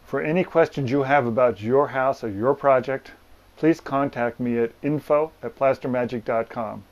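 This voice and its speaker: A man with a mid-range voice walks through a repair job in a room of a house. He explains each step plainly and practically, pausing deliberately as he goes. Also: mid-range voice, highs cut off. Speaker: plaster repair business owner and presenter. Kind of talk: hands-on walkthrough explanation to camera. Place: room inside an old house.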